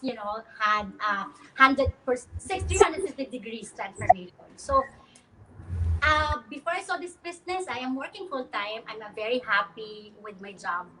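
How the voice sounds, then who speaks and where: A woman's voice: a little high, background noise. A woman in her thirties from the Philippines, a guest in a casual online interview who laughs often and uses many fillers.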